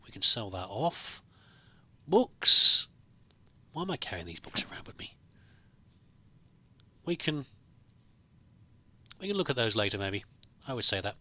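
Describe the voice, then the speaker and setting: Bright-voiced man doing game commentary. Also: lowish voice, big pitch swings, unhurried, pauses often, dry recording. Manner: musing, thinking aloud. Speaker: gamer, let's play host. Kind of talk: casual improvised roleplay game commentary.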